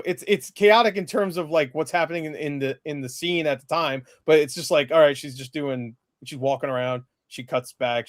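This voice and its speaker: A man's voice: fairly high pitch, dry recording. Rambling, blunt man reviewing movies, dry close sound. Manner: rambling, emphatic, blunt with casual swearing. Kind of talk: casual film review chat.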